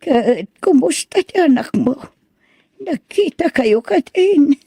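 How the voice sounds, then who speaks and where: A woman playing a gentle, sorrowful old mother who speaks tenderly and pleadingly in an audio drama. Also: sits high, expressive, slow pace, no echo, studio quality, full character voice. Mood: tender, concerned. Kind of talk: amateur audio drama acting.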